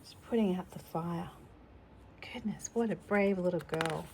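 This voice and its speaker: A woman's voice: on the low side, a little slow, darkish tone, slight background noise, rough recording. A woman reading a picture book aloud to a young child, expressively, with chatty asides. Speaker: storytime reader.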